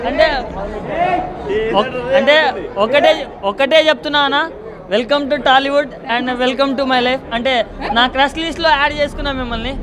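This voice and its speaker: A man's voice: extremely high pitch, fairly even intonation, moderate pace, a crowd in the background. A man giving an effusive, emphatic public reaction to camera, often repeating himself for stress. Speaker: moviegoer giving a film reaction.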